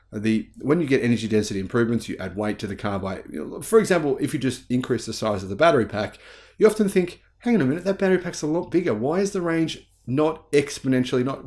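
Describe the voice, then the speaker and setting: Low-pitched man, emphatic video host. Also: darkish tone. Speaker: YouTube channel host. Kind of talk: news commentary to camera. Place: small home room, indoors.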